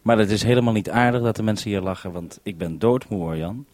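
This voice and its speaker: A man's voice: fairly low pitch, fast, a little mellow, slight background noise. A man from the Netherlands hosting a two-way radio conversation, handing back with "over" as he goes. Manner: says "over" to hand back. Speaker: radio host.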